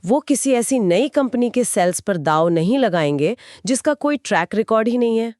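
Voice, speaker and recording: A woman's voice: a little high, lively intonation, moderate pace, a little mellow, no room sound, clean studio sound. A woman with an Indian accent in a conversational explainer podcast, speaking in an assured, explanatory way as co-host. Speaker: co-host explaining company financials.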